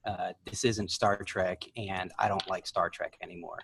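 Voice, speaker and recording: A man's voice: deep voice, steady tone, normal speed, gravelly, a bit bright, slight background noise. A man co-hosting a podcast, debating casually in conversation with the other hosts. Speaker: podcast co-host.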